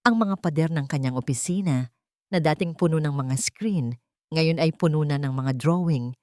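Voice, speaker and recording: A woman reading a sentimental story as an audiobook narrator, in a measured, dramatic way. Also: low-pitched, mellow, dry recording, clean studio sound.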